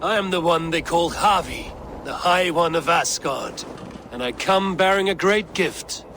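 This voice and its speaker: An actor playing a sly, persuasive god in disguise in a fantasy video game. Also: male voice, fairly high pitch, a little slow, pushed and bright, a little hiss or hum. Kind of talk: video game cutscene acting. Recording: game audio with ambience.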